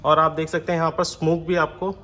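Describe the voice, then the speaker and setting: A man's voice: on the high side, flat delivery, fast talker, bright, forceful tone, slight background noise. A man giving an unscripted, step-by-step product demonstration, mixing in English technical terms. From India.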